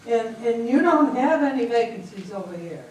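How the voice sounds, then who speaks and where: A woman's voice: on the high side, lively intonation, moderate pace, dark tone, natural voice. Female voice, hesitant pleading traveller, acted by a woman, stage play, very echoey, distant mic. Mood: polite, flustered. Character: polite, flustered, insistent traveller stranded at border. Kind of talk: amateur readers theater stage performance. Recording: echoey hall from far off, faint noise.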